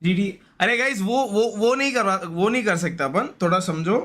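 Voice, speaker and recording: A man's voice: sits high, normal speed, fairly bright tone. A man giving casual, slangy live gaming commentary, often repeating short pleading phrases. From India. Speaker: gaming streamer.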